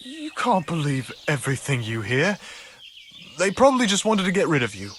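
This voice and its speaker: Wary medieval woodsman, lively intonation. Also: fairly high pitch, normal speed, a little hiss or hum. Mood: evasive. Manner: wary, hedging. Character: guarded, evasive medieval charcoal burner, bargaining man. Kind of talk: video game cutscene dialogue.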